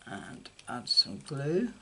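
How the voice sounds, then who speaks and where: A woman's voice: a bass voice, very animated, takes it slowly, pushed and bright, breathy edge. An older woman chatting through a card-making tutorial in a casual, self-deprecating way. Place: indoor craft table. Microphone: camera over a desk, hands only.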